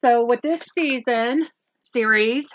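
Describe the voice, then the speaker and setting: A woman's voice: a little high, slow, dry recording, low-quality recording. A woman hosting a casual doll review, rambling along with lots of ums and self-corrections. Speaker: toy review channel host.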